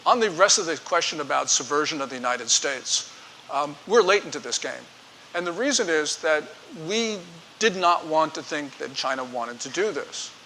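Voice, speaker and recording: Middle-aged male speaker, emphatic, bright tone, live hall sound with reverb. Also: fairly high pitch, animated, moderate pace. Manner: forceful, emphatic, editorializing. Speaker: guest speaker. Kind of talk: lecture with audience Q&A. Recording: reverberant hall with faint hiss.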